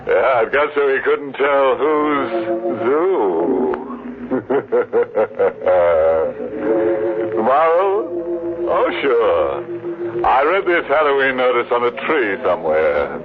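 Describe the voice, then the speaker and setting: Macabre host: teasing, mock-sinister, very drawn-out, vintage lo-fi radio sound over music. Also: male voice, medium pitch, sing-song. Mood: gleefully macabre. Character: gleefully macabre male horror host, punning and teasing. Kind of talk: 1940s radio horror hosting. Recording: muffled vintage radio recording, music behind.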